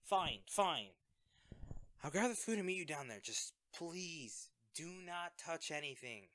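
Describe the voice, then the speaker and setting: Audio drama role: male actor as caring young secret hero, higher-pitched and bright, sheepish, hesitant delivery. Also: expressive, a little slow, no echo. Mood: teasing. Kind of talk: audio roleplay acting, conversational.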